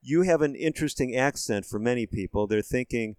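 A man's voice: medium pitch, a little fast, a little mellow, smooth, no echo, studio quality. A man hosting a radio interview, asking questions and summarizing the guest's answers in a friendly, conversational way. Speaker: radio show host.

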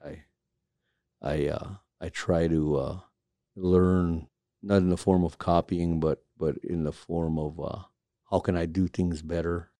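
A man from Alaska, a carver and studio owner, speaking reflectively in a documentary interview, pausing often with fillers. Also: sits low, fairly even intonation, dark tone, no room sound. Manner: reflective, halting with many fillers.

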